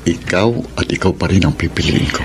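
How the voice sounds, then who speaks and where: An actor playing a steadfast, loving governor in a Filipino radio drama, speaking earnestly. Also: male voice, deep voice, fairly quick, vocal fry, slight background noise. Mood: reassuring. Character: steadfast, loving male governor, betrayed grandson.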